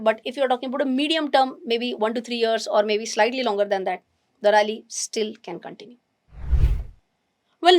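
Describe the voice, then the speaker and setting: A woman with an Indian English accent teaches investing with animated emphasis, often restarting mid-sentence as she thinks aloud. Her voice is hoarse and strained. It sits fairly high. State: hoarse, nearly lost voice. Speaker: finance educator. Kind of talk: explaining investing to camera.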